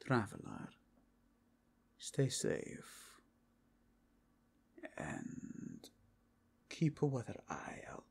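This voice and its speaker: A man's voice: medium pitch, huge pitch swings, very slow, vocal fry, pauses often, breathy edge, full character voice. A man playing a stern, pious inquisitor, speaking formally and solemnly in an audio roleplay. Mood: stern, reserved.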